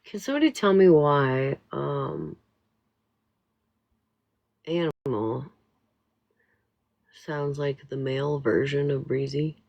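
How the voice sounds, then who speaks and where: A woman's voice: very deep voice, lively intonation, takes it slowly, gravelly, long pauses, darkish tone, no echo, highs cut off. A woman in a casual live-stream chat with a teasing, drawling manner. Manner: drawling, teasing, laughs often.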